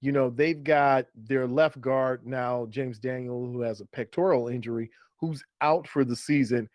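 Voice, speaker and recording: A man's voice: medium pitch, measured pace, a little mellow, dry recording. A man co-hosting a sports show in casual chat, explaining his analysis and repeating phrases for emphasis. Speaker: sports podcast co-host. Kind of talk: casual fantasy football chat.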